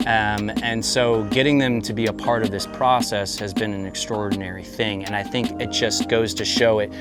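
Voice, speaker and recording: A man speaking as a panelist in a halting way, often repeating words. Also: lowish voice, fairly even intonation. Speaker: panelist, brand founder. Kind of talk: panel talk. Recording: loud guitar music over voice.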